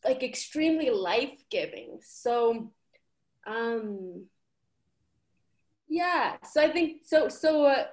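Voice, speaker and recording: A woman's voice: a little high, lively intonation, takes it slowly, pauses often. A young woman from Ecuador, a program coordinator, talking earnestly and casually with many fillers. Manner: earnest, uses many fillers like "like" and "you know". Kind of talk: casual conversational reflection.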